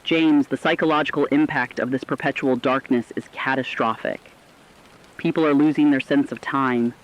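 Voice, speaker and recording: A dull, lo-fi recording carries a grave radio correspondent, acted by a man. The voice is medium in pitch, reading a scripted disaster report in a formal, urgent and dramatic manner. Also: natural voice. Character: grave male radio news correspondent on catastrophe.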